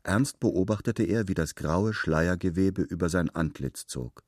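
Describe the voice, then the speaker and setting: A male narrator with a deep, low voice reads literary fiction from a script. The recording is dry and close, free of room sound. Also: unhurried, darkish tone, no room sound.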